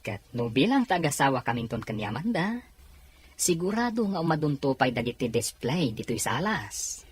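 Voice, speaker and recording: A woman's voice: rumbling low, very animated, normal speed, breathy edge, heavily acted. A woman voicing an eager, well-off young male suitor in an audio drama, polite in manner. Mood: boastful.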